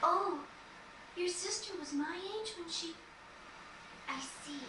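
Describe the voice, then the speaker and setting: Casting: very high, sweetly earnest girl of fourteen, consoling, game cutscene; echoey, noisy recording. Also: slow pace, recorded from a distance. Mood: tender, accepting. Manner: soft-hearted, sincere, earnest. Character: gentle, accepting fourteen-year-old girl, sickly yet consoling. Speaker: voice actor in a fantasy game. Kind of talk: dramatic game cutscene dialogue.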